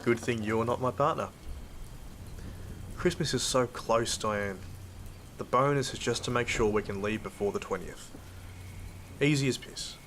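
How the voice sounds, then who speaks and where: A man's voice: mid-range voice, a little slow, long pauses, a bit bright, a little hiss or hum. An Australian man playing a proud, blunt field hunter who narrates an audio drama in a weary, colloquial way. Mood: blunt, stubborn.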